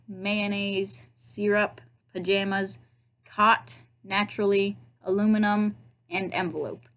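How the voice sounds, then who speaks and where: A woman's voice: medium pitch, a bit bright. A young woman with a Nebraska accent, talking casually and self-mockingly to a webcam in her bedroom. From Nebraska, USA. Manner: chatty, self-mocking, playful asides. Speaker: vlogger. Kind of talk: casual accent tag video. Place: bedroom at home, indoors. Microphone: laptop webcam.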